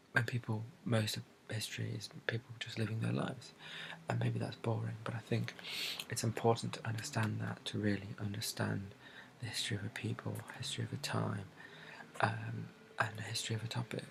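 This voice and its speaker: A soft-spoken British man in a breathy ASMR bookshop roleplay, describing books in a hesitant, rambling way and repeating his phrases. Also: on the low side, brisk, noisy background. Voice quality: breathy, soft, often dropping to a whisper. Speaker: ASMR creator playing a bookshop assistant.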